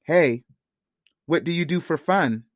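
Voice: medium pitch, measured pace, no room sound, close to an everyday voice. Narrator playing an easygoing young man, close dry sound. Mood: casual. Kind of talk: audiobook character dialogue.

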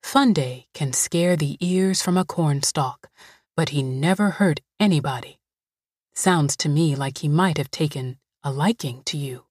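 A woman voicing a calm older woman who comforts a frightened girl in a low, soothing voice. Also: unhurried, no echo, studio quality.

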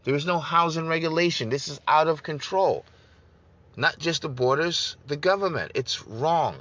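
A man's voice: fairly high pitch, moderate pace, fairly bright tone, lo-fi sound. A man giving an emphatic political talk to his online audience, repeating his points and calling listeners "guys". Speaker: political speaker on a broadcast.

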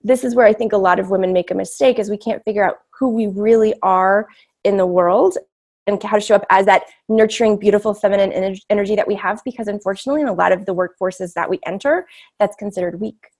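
Female interview guest, big voice, mid pitch, candid and reflective, conversational delivery. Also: fast talker, a little mellow.

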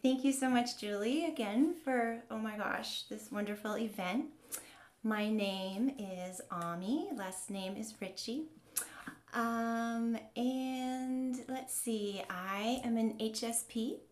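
A woman's voice: a little high, takes it slowly, echoey room. An American woman from Wisconsin, a musician, casually introducing herself in a hesitant, giggly way. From northern Wisconsin, USA. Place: online event on video call.

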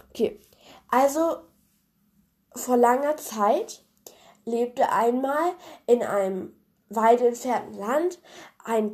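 A woman's voice: on the high side, darkish tone. A young woman hosting a podcast, chatty and rambling with many fillers, her voice nasal and congested from a cold as she retells a fairy tale. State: stuffy cold. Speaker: podcast host.

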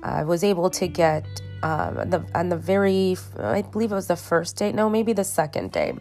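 A low-voiced woman hosts a solo podcast while sick, sounding tired and congested. She talks slowly and haltingly, restarting sentences and filling gaps with ums. Faint guitar music plays underneath. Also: expressive, darkish tone. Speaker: podcast host. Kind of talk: casual solo podcast monologue. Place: her bedroom at home.

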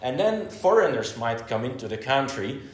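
A man's voice: medium pitch, sing-song, normal speed. A Belgian man with a Flemish accent, a native Dutch speaker, chatting as a guest with many stuttered restarts. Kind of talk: casual conversation. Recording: roomy echo.

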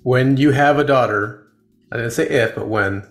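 A man's voice: medium pitch, moderate pace. A man in his forties hosting a casual podcast interview, playful and encouraging as he asks questions. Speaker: podcast host. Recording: roomy echo with faint music.

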